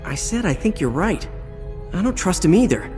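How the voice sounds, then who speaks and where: A man's voice: high voice, sing-song, moderate pace, darkish tone. An actor playing a wisecracking teenage boy hero with a casual, sarcastic manner. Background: game music.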